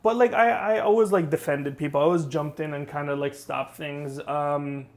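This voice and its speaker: A young man co-hosting a casual talk show, telling lively stories and acting out the people he quotes. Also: on the high side, normal speed. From Saudi Arabia. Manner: animated storyteller acting out quotes. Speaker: podcast co-host. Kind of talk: casual conversation.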